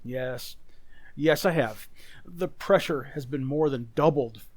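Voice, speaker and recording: A man's voice: medium pitch, takes it slowly. A man playing an anxious, troubled businessman in an old-time radio drama, speaking hesitantly and with distress. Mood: troubled, earnest. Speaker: amateur community theater actor.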